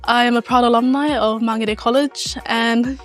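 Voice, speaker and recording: High-voiced New student woman. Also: fairly even intonation, moderate pace, faint music behind. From New Zealand. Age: young adult. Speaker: law student.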